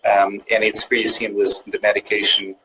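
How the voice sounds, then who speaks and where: A man's voice: on the low side, level tone, normal speed, noisy background. A man from Portugal, a fertility doctor, answering questions on a webinar with frequent fillers and restarts. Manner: hesitant, many fillers and restarts.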